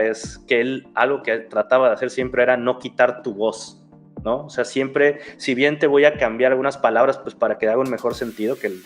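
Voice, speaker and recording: A young man with a Mexican Spanish accent talks loosely as an interview guest, often breaking off and starting his phrases again. His voice sits at an average pitch, and faint music plays behind him. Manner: rambling, many restarts and fillers. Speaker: guest, security researcher. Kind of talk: casual interview talk.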